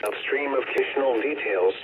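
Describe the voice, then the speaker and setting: Male weather announcer, narrow-band radio speaker sound with clear static, formal bulletin reading. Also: mid-range voice, normal speed. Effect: radio broadcast through small speaker. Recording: tinny radio reception with loud static.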